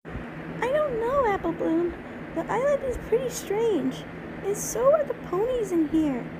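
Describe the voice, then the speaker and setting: A woman's voice: very high voice, sing-song, measured pace, background noise, heavily acted. A woman voicing a bossy, dismissive little unicorn filly in an amateur cartoon dub. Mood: calm, doubtful.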